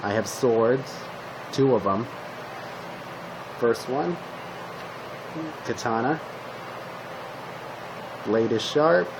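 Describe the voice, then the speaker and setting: A man improvising a casual roleplay monologue as a calm zombie apocalypse survivor, talking to the listener in short, hesitant fragments. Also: mid-range voice, very slow, creaky, pauses often, noisy background. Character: calm male zombie apocalypse survivor giving weapon tips. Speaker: roleplay video creator playing a zombie survivor.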